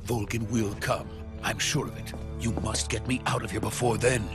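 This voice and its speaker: An actor playing an anxious Soviet weapons scientist in a game cutscene, speaking in a grave, deliberate, explanatory way. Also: male voice, on the low side, steady tone, music in the background, natural voice. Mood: grave, urgent. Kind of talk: dramatic video game cutscene acting.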